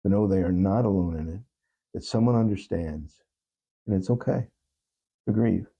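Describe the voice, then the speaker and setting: A man speaking as an interview guest, giving earnest, thoughtful answers in a halting, reflective way. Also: low voice, gravelly, mellow, slightly rough. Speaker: talk show guest.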